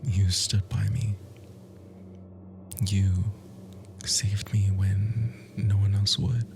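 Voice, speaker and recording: A man playing a bitter, obsessive immortal monster spurned by a lover, in a breathy, theatrical ASMR roleplay monologue. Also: deep voice, exaggerated voice. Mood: aching reproach. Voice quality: breathy, hushed, whispery. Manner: slow, menacing, theatrical, intimate.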